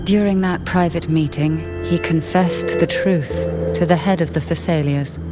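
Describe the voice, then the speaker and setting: A woman voicing a poised, secretive noblewoman in a video game story, speaking in a composed, refined and deliberate way. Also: low voice. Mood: solemn. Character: poised, secretive noblewoman of an old disgraced family. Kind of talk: video game story voice acting, measured exposition. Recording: game music behind the voice.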